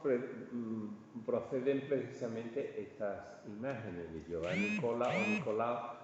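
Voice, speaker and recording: Man, Spanish accent from Spain, informal lecturer, halting conversational delivery, heavy room echo, dull sound. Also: medium pitch, animated. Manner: halting, conversational, often checking with listeners. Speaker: art history lecturer. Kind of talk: informal illustrated lecture to an audience. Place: large reverberant indoor room. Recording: echoey hall, distant muffled sound, faint noise.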